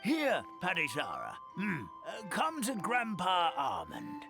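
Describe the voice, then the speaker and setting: Doddering old creature grandpa for a game: slow, creaky, kindly, folksy, music underneath. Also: male voice, high-pitched, huge pitch swings, exaggerated voice. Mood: fond, nostalgic. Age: older. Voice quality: creaky, gravelly. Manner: halting, chuckling, folksy. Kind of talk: video game voice acting. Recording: music playing behind the voice.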